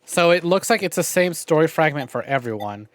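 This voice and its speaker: A man's voice: on the high side, animated, brisk. A man hosting a livestream, giving casual, conversational game commentary straight to his viewers. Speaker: livestream host.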